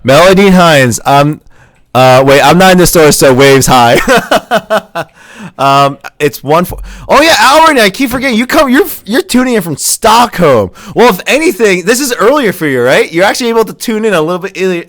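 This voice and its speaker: A man's voice: high voice, big pitch swings, a little fast, fairly bright tone, no echo, studio quality. A young man from Pennsylvania hosting a casual live-stream podcast, reading viewer comments aloud and laughing often. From Pennsylvania, USA. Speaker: podcast host.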